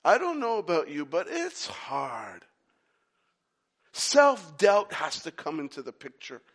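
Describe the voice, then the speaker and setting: A man's voice: a little high, wildly swooping pitch, slow pace, a bit bright. A man preaching a sermon, using emphatic repetition and rhetorical questions aimed at the listeners.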